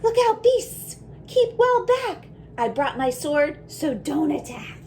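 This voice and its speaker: A woman's voice: high voice, big pitch swings, slow, a little mellow, slight background noise, pitched above the performer's own voice, heavily acted. A woman voicing an imaginative little girl in a storybook, with a dramatic, emphatic delivery. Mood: bold shouting. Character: imaginative brave little girl starting school.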